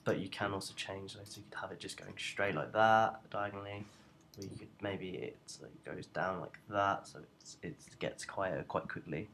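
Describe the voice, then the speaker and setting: A young man giving an informal software tutorial in a hesitant, halting way. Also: low-pitched, creaky, a little hiss or hum. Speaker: student tutorial presenter.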